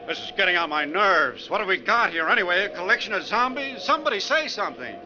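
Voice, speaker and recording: An actor playing a sarcastic, edgy, talkative bus passenger in a needling, theatrical 1940s radio style. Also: male voice, high voice, animated, fast. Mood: irritated sarcasm. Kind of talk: 1940s radio drama acting. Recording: dull, noisy 1940s radio recording.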